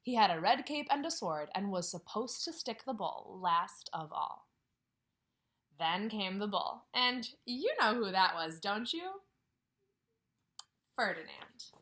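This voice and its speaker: A kindergarten teacher reads a picture book aloud to her class, her woman's voice bright and clear. Her pitch swings up and down as she acts out the story. She keeps breaking off to ask the children questions and sometimes drops to a whisper. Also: big pitch swings, slow. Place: at home, near a couch.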